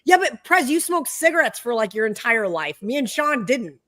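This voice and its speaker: A woman with a raspy voice, teasing her co-hosts on a sports betting show. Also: on the high side, sing-song, normal speed, a bit bright.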